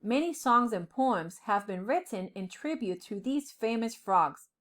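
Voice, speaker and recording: A woman reading a children's storybook aloud with dramatic, deliberate phrasing, as a storyteller. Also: a little high, animated, a bit bright. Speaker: storyteller, professor.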